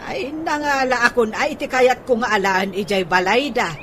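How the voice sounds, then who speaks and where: A woman with an Ilocano accent playing an aggrieved, nagging older housemaid in a radio comedy drama, full of halting interjections. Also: a little high, a bit bright, natural voice. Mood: grumbling, gossipy. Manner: halting, peppered with sighing interjections. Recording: noisy background with ambient bird sounds.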